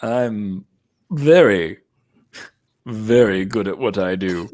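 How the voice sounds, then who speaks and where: A man's voice: lowish voice, takes it slowly, darkish tone. A man playing a cocky, wheedling military officer and dealmaker, smug and theatrical, at a comedic table read. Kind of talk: comedic live table read of a fan script.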